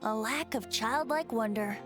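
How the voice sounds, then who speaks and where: A woman's voice: fairly high pitch, measured pace, over music, close to an everyday voice. A woman voicing a boyish young bard in a video game, playful and poetic, with lilting, slightly theatrical phrasing. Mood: musing. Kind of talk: video game character dialogue.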